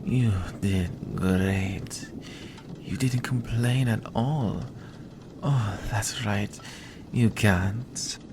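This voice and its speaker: An actor playing a sly, centuries-old vampire in an ASMR roleplay, speaking in a hushed, deliberate, guarded way. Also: mid-range voice, noisy background. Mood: predatory, pleased.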